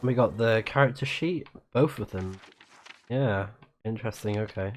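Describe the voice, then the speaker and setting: A man's voice: on the low side, normal speed, slight background noise. A man giving casual let's play commentary, reading on-screen text aloud and murmuring reactions as he plays. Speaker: streamer playing a video game.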